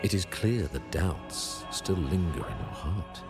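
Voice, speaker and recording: A man playing a stately older high priest in a fantasy video game, speaking in grave, formal, measured oratory. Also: expressive, loud music behind the voice. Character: solemn, stately high priest of a religious order. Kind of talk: theatrical fantasy game dialogue.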